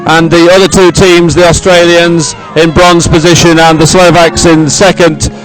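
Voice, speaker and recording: A man's voice: fairly high pitch, flat delivery, normal speed, faint music behind, poor audio. A man doing live, chatty sports commentary outdoors at a medal podium, rambling with many fillers.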